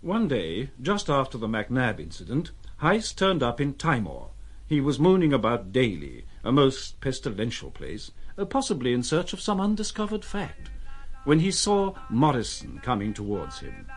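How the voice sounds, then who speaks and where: A man's voice: mid-range voice, wildly swooping pitch, moderate pace. A man narrating a literary audio drama as a scripted storyteller.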